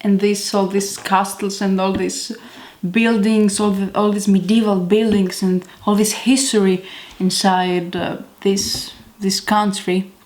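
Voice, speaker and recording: Greek lady cooking at home, talks real slow, keeps stopping to find the words. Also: mid-range voice, flat delivery, a little mellow. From Greece. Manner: chatty, halting, searches for words. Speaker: home cook. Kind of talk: casual cooking video.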